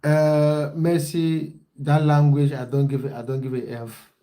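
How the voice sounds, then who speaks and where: A man's voice: fairly high pitch, level tone, a little slow, dark tone. A man from Cameroon, a football coach chatting on a livestream and reading out viewer comments. He repeats his phrases and talks straight to his viewers.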